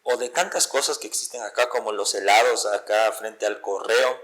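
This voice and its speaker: A man's voice: on the low side, moderate pace, a bit bright. An Ecuadorian man hosting a casual interview, feeling for his words and repeating phrases for emphasis. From Ecuador, born in Quito. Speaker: podcast host, interviewer.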